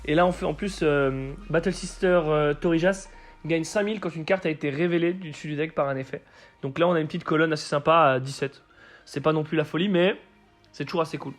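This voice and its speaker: A man with a hoarse voice from a cold, commentating a card game match he played and explaining each play step by step. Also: a little high, brisk, soft background music. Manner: explains plays step by step with frequent fillers.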